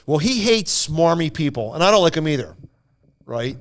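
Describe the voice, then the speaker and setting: A man casually telling anecdotes on a podcast in a rambling, animated way, acting out what people said. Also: a little high, moderate pace. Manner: rambling, animated retelling with acted-out quotes. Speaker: podcast storyteller.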